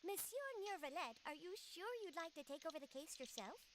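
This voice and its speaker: Small fussy creature secretary in a game, high voice, officious, hesitant; noisy stream audio. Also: female voice, animated, fairly quick. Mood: worried, polite. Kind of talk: scripted video game dialogue acting.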